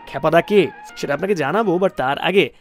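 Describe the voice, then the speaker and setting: A man with a notably high voice hosts a music show on the radio. He tells stories in a conversational, animated way and addresses the listener directly. Faint music plays behind him. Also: a little fast.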